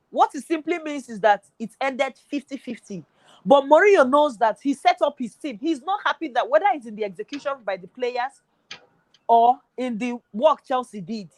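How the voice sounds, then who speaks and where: A woman with a fairly high voice argues her view of a football match with insistence and force. Her voice is close and dry, with no room around it. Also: no room sound, poor audio. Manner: emphatic, argumentative. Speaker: football pundit. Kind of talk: studio football discussion.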